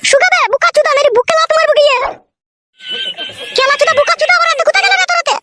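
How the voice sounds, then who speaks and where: A woman's voice: very high-pitched, very fast. A woman voicing a fierce, bossy young village wife in a comedy cartoon, scolding in a rural West Bengal accent. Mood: fierce, threatening. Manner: scolding, bossy, coarse-tongued. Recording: loud noise behind the voice.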